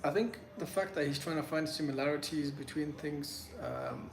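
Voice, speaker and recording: A man's voice: on the high side, normal speed. A young man whose first language is Pashto, hosting a casual live Q&A from his phone and answering chat questions in a loose, rambling way full of "like" and restarts. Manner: loose and chatty, lots of "like" and restarts. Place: in Medellín, Colombia. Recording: echoey room with clear background noise.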